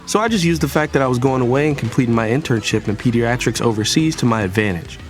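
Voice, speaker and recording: A man's voice: medium pitch, natural voice. A young man acting as a playful, idealistic younger brother, narrating his own story in a scripted audio drama. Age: young adult. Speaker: narrator and character. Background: music.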